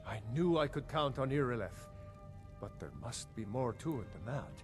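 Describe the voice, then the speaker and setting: A grave, commanding Jarl played by a man in a fantasy video game. He speaks in a mid-pitched, stately, measured voice over faint music. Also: animated, close to an everyday voice. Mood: intent, expectant. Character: grave, commanding male Jarl of a Nordic hold. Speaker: game character.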